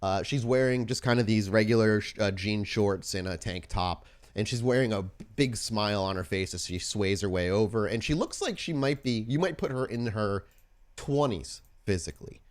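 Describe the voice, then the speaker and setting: A man's voice: fairly low pitch, normal speed. A man working as game master, improvising descriptive, informal narration for a tabletop roleplaying game.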